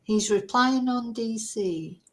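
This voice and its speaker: A woman's voice: on the high side, takes it slowly. A woman hosting a true crime livestream, reading news aloud and adding chatty, opinionated asides. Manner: chatty, opinionated, drifting from article to own asides. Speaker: true crime channel host.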